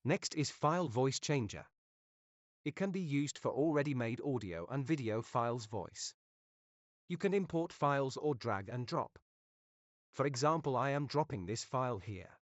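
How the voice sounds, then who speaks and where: A man narrating step-by-step software instructions as a tutorial presenter. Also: mid-range voice, lively intonation, normal speed, no room sound, highs cut off. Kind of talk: instructional screen-recording narration.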